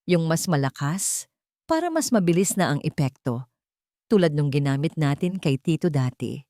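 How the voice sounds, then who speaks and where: A woman's voice: sits low, lively intonation, moderate pace, warm tone, dry recording, studio quality. A woman voicing a scheming, conspiratorial young man in a dramatic audio story. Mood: conspiratorial, satisfied.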